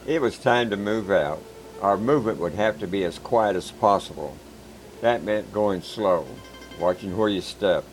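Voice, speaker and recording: A man reading a first-person war story in a calm, measured, plain-spoken way. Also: fairly low pitch, low-quality recording. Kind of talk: scripted first-person narration. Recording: background music.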